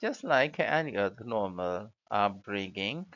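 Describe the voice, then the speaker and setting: A man with an Indian accent giving hesitant, halting answers as a candidate in a spoken exam, on a dull recording. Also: on the low side, big pitch swings, a bit bright. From Mumbai, India. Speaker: speaking test candidate. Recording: dull lo-fi audio.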